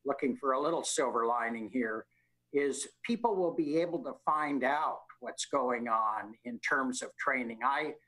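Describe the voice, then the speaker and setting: A man who is mayor, chairing a city council meeting over a video call, speaking in a measured way with small restarts. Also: mid-range voice, bright, forceful tone, low-quality recording. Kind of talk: formal meeting talk.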